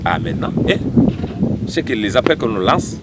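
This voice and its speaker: A man's voice: lowish voice, animated, normal speed. A man from Mali giving an interview outdoors, talking expressively with repeated words and restarts. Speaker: interviewee, local community figure. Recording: loud wind and outdoor noise.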